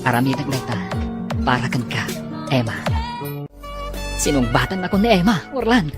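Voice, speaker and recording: A man acts out a bashful young man in love from a radio play, unsure of himself and halting. Music plays clearly underneath. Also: medium pitch, big pitch swings, lightly acted. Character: shy, lovestruck young man. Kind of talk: radio drama acting. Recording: radio drama with music bed.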